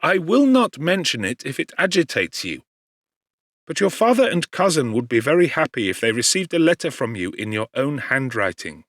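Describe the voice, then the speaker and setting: A man voicing a concerned, kindly young gentleman friend in an audiobook, speaking earnestly and formally. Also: medium pitch, very animated, moderate pace, no room sound, studio quality. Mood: gentle, solicitous.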